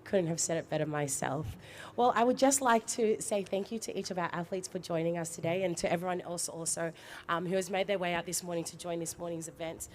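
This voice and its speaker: A woman presents a live event and puts questions to her guests. Her voice sits a little low, and she speaks in an upbeat, encouraging way. Faint background noise comes through. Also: brisk, few pauses. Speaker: event host interviewing athletes.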